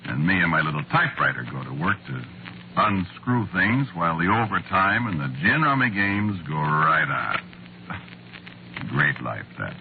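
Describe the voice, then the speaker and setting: A man's voice: deep voice, animated, unhurried. An American man acting as a world-weary, sardonic Hollywood screenwriter, narrating conversationally in a 1940s radio drama with wry delivery. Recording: noisy, muffled old radio recording.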